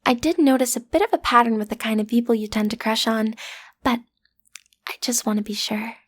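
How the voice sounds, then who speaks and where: A woman's voice: a little high, fairly even intonation, brisk, lightly acted. A young woman playing a lovestruck schoolgirl villain's daughter in an intimate audio roleplay, hesitant and playfully menacing, often slipping into a whisper. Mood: awkwardly menacing. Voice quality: breathy, often dropping to a whisper. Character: lovestruck teenage schoolgirl, villain's daughter, awkward amateur kidnapper. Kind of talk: audio roleplay acting, intimate direct address to listener.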